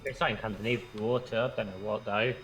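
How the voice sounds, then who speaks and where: A man's voice: lowish voice, fairly even intonation, rapid-fire, rough recording. A man chatting casually as a co-player on a game stream, in an understated, hesitant way. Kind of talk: casual low-key game chat. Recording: game music and keyboard typing behind. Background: game music, keyboard clicks.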